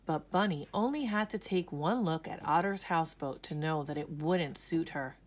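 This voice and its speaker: A woman narrating a children's story aloud with expressive, dramatic emphasis. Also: low-pitched. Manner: slow, animated, dramatic emphasis.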